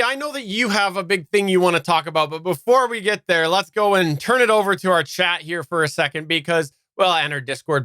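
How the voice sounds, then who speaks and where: A man's voice: fairly high pitch, bright, forceful tone, no room sound. A man co-hosting a podcast, chatting casually and relaying listener comments in a live discussion. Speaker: podcast co-host.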